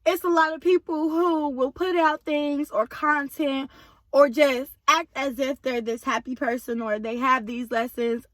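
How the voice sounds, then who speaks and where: A woman talks straight to her viewers in a loose, chatty way. Her voice sits high and comes across bright and forceful, though a little hoarse. The sound is dry and close. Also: dry recording. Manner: chatty, addresses viewers directly. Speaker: vlogger. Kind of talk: casual chat to viewers.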